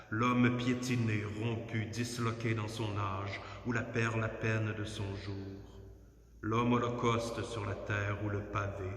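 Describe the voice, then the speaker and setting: A man with a fairly low voice recites poetry in a solemn, declamatory way. His phrasing is measured and his intonation stays fairly even. The sound is that of a church, with strong echo and a long reverberant tail. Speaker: poetry reader. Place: inside a church at night.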